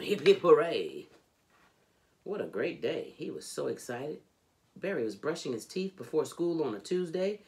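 A middle-aged woman reading a children's book aloud in an expressive, deliberate, emphatic storytelling manner. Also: low voice. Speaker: storybook reader. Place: indoors, furnished home room.

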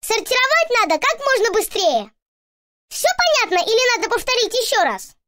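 Tiny eager cartoon boy helper: extremely high, lively, encouraging kids' game guide. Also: normal speed, no echo, studio quality, exaggerated voice. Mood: eager, explaining. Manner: chirpy, encouraging, clearly explaining to children. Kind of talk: animated children's educational game narration.

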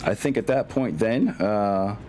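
A man's voice: lowish voice, a little slow, darkish tone, a little hiss or hum. A man, middle-aged, chatting casually and a bit ramblingly as he wraps up an online tabletop game as game master.

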